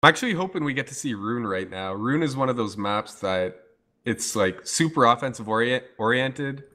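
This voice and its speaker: A man casting a live online game match, talkative and playful, his voice going hoarse. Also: mid-range voice, animated, normal speed, bright, forceful tone. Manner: talkative, playful, hypes the chat. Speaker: esports caster. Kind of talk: casual live game commentary.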